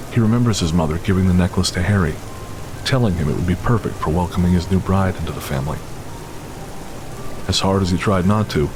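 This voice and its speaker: Very deep male drama narrator. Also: noisy background. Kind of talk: scripted audio drama narration. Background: music and rain.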